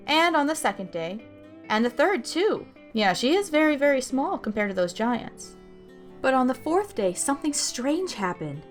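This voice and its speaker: A woman hosting a children's story time, reading aloud expressively and explaining things simply to young listeners. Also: on the high side.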